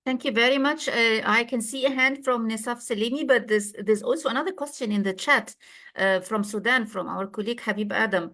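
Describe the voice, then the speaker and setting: A woman's voice: fairly high pitch, brisk, sharp tone. A woman moderating an informal online meeting in a polite, conversational way.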